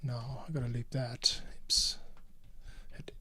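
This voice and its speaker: A man's voice: medium pitch, flat delivery, slow, bright, forceful tone, slightly rough. A man thinking aloud as he edits audio for a podcast, talking and muttering to himself, sometimes under his breath. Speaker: podcast editor and producer.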